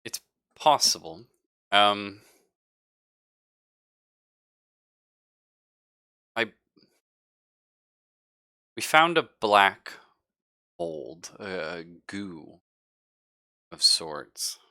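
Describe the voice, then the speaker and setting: A man playing a hesitant scientist in a tabletop sci-fi horror roleplay, explaining haltingly with stammers and evasions. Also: low voice, very animated, pushed and bright, smooth, no echo, clean studio sound, heavily acted. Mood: uneasy, explaining. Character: evasive, halting male scientist, recently woken from cryosleep. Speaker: role-player in a sci-fi horror game. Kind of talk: improvised in-character tabletop roleplay.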